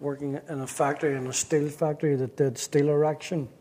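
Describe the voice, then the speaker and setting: A man's voice: fairly high pitch, flat delivery, warm tone. Wry Belfast man telling his story. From Northern Ireland. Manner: wry, joking, halting restarts. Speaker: pastor. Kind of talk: interview storytelling.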